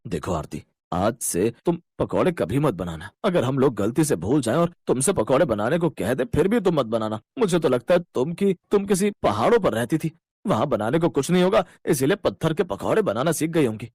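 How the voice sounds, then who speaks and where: An earnest young male office worker voiced by a man in a cartoon story. He talks plainly, with a lively pitch and a dry, close sound. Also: a little fast, slightly rough, no room sound. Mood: scolding.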